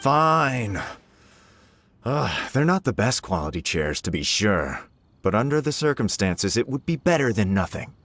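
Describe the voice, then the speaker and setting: A man's voice: lowish voice, very animated, unhurried, fairly bright tone, slight background noise, full character voice. A man voicing a haughty, impatient, pompous wealthy businessman in a video game. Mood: grudging. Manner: complaining, pompous.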